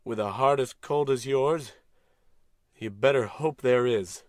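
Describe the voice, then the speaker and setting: A man's voice: mid-range voice, measured pace, close to an everyday voice. A man playing a bumbling, pompous American space captain in a melodramatic old-time radio comedy style. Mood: grieving, indignant.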